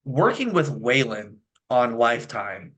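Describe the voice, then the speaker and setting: A man's voice: mid-range voice, sing-song, a little slow, fairly bright tone, slight background noise. A man hosting a casual interview, enthusiastic, asking long rambling questions. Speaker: interview host.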